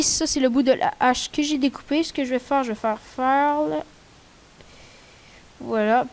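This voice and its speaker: Chatty Québécois woman, higher voice. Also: a little mellow. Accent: Québécois French. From Quebec. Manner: chatty, rambling, slangy. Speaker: tutorial maker. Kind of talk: casual screen-recorded tutorial.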